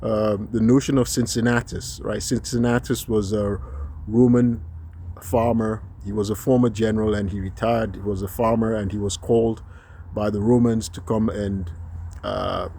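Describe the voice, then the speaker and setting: A man from Nigeria talks through his argument alone, pausing often with fillers and restarting phrases. His voice sits a little low and sounds mellow and relaxed. A faint hiss runs under the recording. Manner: halting, many fillers and restarts; frequent rhetorical "right?" tags. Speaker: commentator. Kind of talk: unscripted solo commentary.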